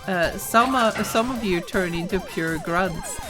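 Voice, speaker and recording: A woman chats through a game stream, rambling and often breaking into laughter. Her pitch rises and falls widely while she keeps an unhurried pace. Game music plays loudly behind her. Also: lively intonation. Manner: chatty, rambling, quick to laugh. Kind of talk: casual gaming stream chat.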